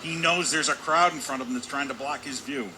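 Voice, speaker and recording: Guy doing hockey color commentary, mid pitched, chatty and repetitive, lots of arena noise. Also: a little fast. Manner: wordy, repeats himself for emphasis. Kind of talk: live sports analysis, conversational. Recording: constant loud arena background noise. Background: hockey arena ambience.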